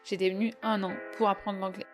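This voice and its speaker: A woman's voice: medium pitch, flat delivery, fast talker, faint music behind. A French woman from southeast France talking casually in a podcast, friendly and conversational, with frequent hesitations. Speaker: podcast host.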